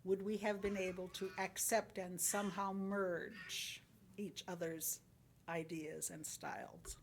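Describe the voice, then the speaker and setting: Creaky-voiced woman reading a sermon. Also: medium pitch, slight background noise. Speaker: lay speaker at a church service. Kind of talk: sermon, read from a script with short personal commentary.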